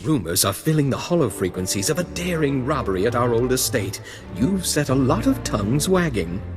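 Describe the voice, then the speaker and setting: A man's voice: mid-range voice, sing-song, brisk, natural voice. An actor playing a teasing, sardonic young nobleman in a video game, talking in a theatrical, wordy way. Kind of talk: video game cutscene acting. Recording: long hall reverb, faint music.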